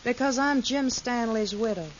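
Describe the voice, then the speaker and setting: Lady doing a greedy sassy wild west wife, american, high voice, fake sweet, muffled old 50s radio. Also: moderate pace, natural voice. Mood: smugly sweet. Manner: coy, mock-innocent, sassy. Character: brazen, sly, greedy frontier wife, falsely sweet. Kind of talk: 1950s radio western acting. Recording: dull, hissy 1950s radio recording.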